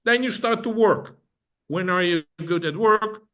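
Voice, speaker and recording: A man's voice: high-pitched, measured pace, fairly bright tone. A middle-aged man from the Netherlands with a Dutch accent, speaking as an interview guest in a thoughtful, repetitive way with frequent restarts. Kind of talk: casual interview talk.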